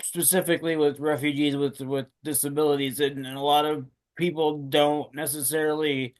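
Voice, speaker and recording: A man interviewing a guest, asking questions haltingly and repeating words often. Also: a little high, little pitch movement. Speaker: student interviewer.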